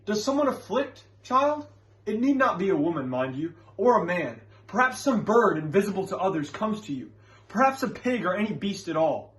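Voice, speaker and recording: A man's voice: sits high, big pitch swings, moderate pace, pitched above the performer's own voice, low-quality recording. A man performing stage monologues as an earnest, scholarly witch-hunting reverend, with emphatic, preacherly delivery and formal archaic phrasing. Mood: probing.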